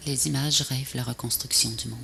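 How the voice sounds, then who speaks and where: A woman reads a poem slowly in short, solemn phrases. Her voice is gravelly with fry and breathy, often close to a whisper. The old tape recording carries clear background noise. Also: a bass voice, fairly even intonation, sharp tone. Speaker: poet narrator of a video poem. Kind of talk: poetic recitation, scripted voice-over. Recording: noisy VHS transfer, ambient noise.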